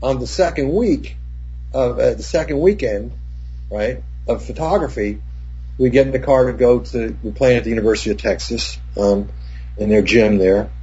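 Husky older man telling film-set stories. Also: mid-range voice, moderate pace, mellow, faint music behind. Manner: rambling, profane, with many restarts. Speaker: cinematographer guest. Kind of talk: casual anecdote. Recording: dull lo-fi recording.

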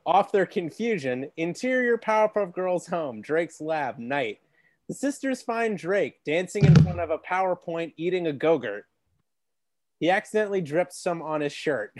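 A man with a deep voice reading stage directions aloud during a comedy script read-through. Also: sing-song, moderate pace, no room sound.

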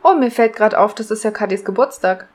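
A woman's voice: medium pitch, fairly quick, darkish tone. A woman chatting casually as a co-host on a podcast. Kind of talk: casual chat.

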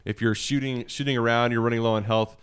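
A man co-hosting a gaming podcast in casual, wryly joking talk with a smooth, clear voice. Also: medium pitch, steady tone, quick pace. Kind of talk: casual chat.

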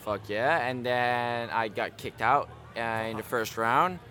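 A man's voice: medium pitch, slow pace, bright, forceful tone. A man being interviewed outdoors about his riding, talking in a laid-back, joking way. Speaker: interviewee, rider.

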